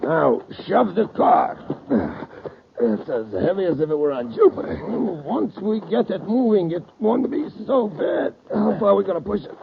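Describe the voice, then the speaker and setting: A man's voice: high-pitched, sing-song, moderate pace, creaky, exaggerated voice. An actor playing a vengeful, scheming male saboteur ringleader, curt and commanding, in an old-time radio drama. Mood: straining. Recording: tinny, faintly hissy old radio recording.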